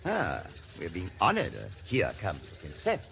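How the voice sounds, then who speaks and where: Pompous baron, swooping, courtly delivery. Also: male voice, sits low, wildly swooping pitch, normal speed, fairly bright tone, full character voice. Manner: formal, pompous, hesitant. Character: haughty, courtly male European baron. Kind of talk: 1940s radio acting. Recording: muffled, hissy old radio recording.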